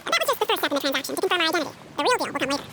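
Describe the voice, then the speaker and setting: A woman's voice: extremely high pitch, lively intonation, rapid-fire. A woman voicing a small, chatty, eager sidekick in a video game, with music behind. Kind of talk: video game character acting.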